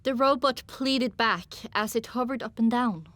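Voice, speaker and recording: Woman audiobook narrator, highish voice, telling a first person story from a script. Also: measured pace, a bit bright, dry recording.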